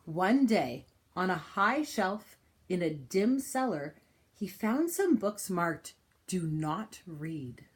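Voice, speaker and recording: A woman reading a children's picture book aloud in an expressive style, with deliberate, dramatic phrasing and pauses. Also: on the low side. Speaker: storybook reader.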